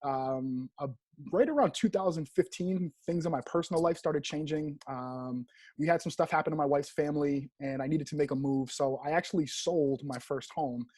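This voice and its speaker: A man's voice: on the high side, moderate pace, a little mellow, no room sound. An American man in his thirties from Rhode Island, a real estate agent telling his story casually as an interview guest. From Rhode Island, United States.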